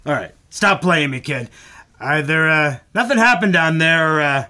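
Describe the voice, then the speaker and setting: Radio drama station boss, male, actor-played: world-weary yet avuncular, fairly high sharp voice, halting wry delivery. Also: lively intonation. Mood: probing. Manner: wry, hesitant pauses, calls people kid. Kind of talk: old-time radio drama acting, live on stage.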